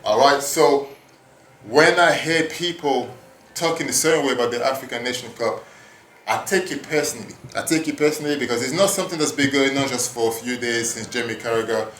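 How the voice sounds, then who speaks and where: A man in his thirties, raised in France, hosting a casual podcast monologue in an animated, hyping way with casual swearing, recorded at a gym. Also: medium pitch, fairly quick, echoey room, slight background noise. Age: 30s. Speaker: podcast host. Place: gym at work.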